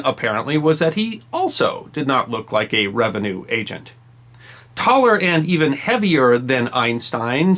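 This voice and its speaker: A man's voice: fairly high pitch, fairly bright tone. A man narrating a history story from a script, with wry, emphatic delivery and dry asides. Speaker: history channel host.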